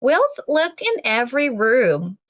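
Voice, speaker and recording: A woman reading a children's story aloud from a script, in an expressive, deliberate way for young listeners. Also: high-pitched, highs cut off. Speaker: storybook reader.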